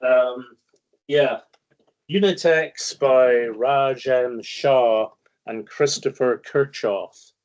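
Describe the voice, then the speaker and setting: A man's voice: medium pitch, very slow, a little mellow, dull audio. A man with a Scottish accent, a guest analyst giving emphatic, opinionated commentary in long explanations. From Scotland. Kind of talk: conversational interview commentary.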